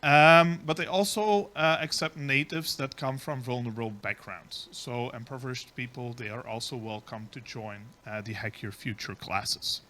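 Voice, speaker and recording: A man presents at a conference, speaking at an average pitch. He explains patiently, with frequent filler ums between his points. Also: steady tone, moderate pace, few pauses, sharp tone, a little hiss or hum. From Belgium. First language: Dutch. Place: conference stage.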